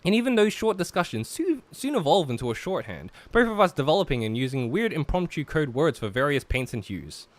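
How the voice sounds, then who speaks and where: A man's voice: fairly high pitch, big pitch swings, a little fast, few pauses. A man hosting a visual novel playthrough, mixing casual, exasperated banter with read-aloud narration.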